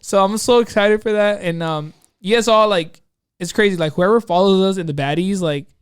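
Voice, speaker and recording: A man with a fairly high, smooth voice tells an anecdote on a casual podcast. He rambles excitably in slang, acting out his own asides, in a very dry, close space. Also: brisk, no room sound. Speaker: podcast co-host telling a personal story. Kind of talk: casual chat, anecdote storytelling.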